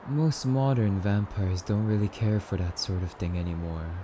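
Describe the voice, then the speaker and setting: A man playing a suave, courtly immortal vampire in an ASMR roleplay, speaking in formal, theatrical, rambling musings with drawn-out phrases. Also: fairly low pitch, background noise, natural voice. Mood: wistful, explanatory.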